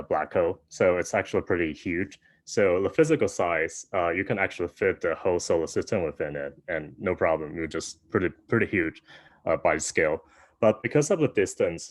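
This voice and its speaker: A young man from Taiwan giving an online academic talk, soft-spoken with many fillers and restarts, over a video call. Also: sits low, dry recording. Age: young adult. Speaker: guest speaker.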